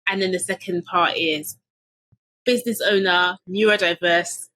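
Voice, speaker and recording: A woman's voice: on the low side, fairly even intonation, unhurried, fairly bright tone, slight background noise. A woman from London hosting a podcast, chatting casually and laughing often between her sentences. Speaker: podcast host. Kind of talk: casual conversation.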